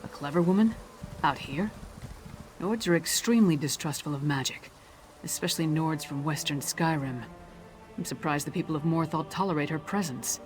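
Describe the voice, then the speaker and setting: A woman's voice: low-pitched, moderate pace, faint music behind, natural voice. An actress playing a blunt, hot-tempered but dutiful warrior woman in a video game, forthright and plain-spoken. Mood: matter-of-fact. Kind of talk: scripted video game dialogue.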